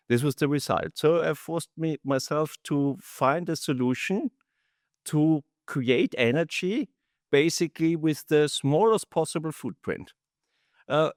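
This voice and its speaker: Middle-aged male, Austrian accent, halting non-native English, interview guest, medium pitch, dry recording. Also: darkish tone, no room sound. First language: German. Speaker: company founder, interview guest. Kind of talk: interview answers, recounting own career.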